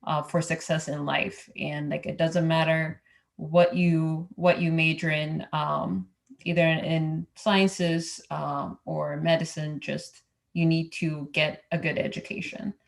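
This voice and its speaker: A woman's voice: low-pitched, flat delivery, slow, vocal fry. A woman from Ethiopia who works as an engineer, speaking as a roundtable panelist in a hesitant way, with many fillers and restarts. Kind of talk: casual roundtable talk.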